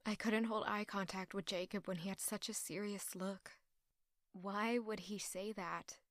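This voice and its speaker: A woman playing a conflicted, loyal young woman in love in an audio drama, with emotional, deliberate delivery. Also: mid-range voice, fairly even intonation, no echo, clean studio sound, close to an everyday voice. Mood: guarded, doubtful. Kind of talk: dramatic audio drama acting with first-person narration.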